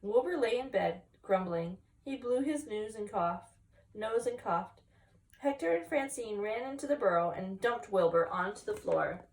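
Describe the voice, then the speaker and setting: A woman's voice: mid-range voice, lively intonation, unhurried, far from the mic, low-quality recording. A woman reading a children's picture book aloud expressively, with chatty asides to young listeners. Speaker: story time reader, teacher.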